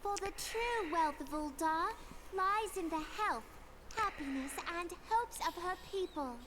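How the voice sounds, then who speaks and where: A woman's voice: very high voice, animated, background noise. A woman playing a young, solemn and earnest sultana, giving a formal, lofty address to her subjects in a video game. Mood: solemn, uplifting. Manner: stately, measured, lofty. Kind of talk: formal ceremonial speech, video game acting.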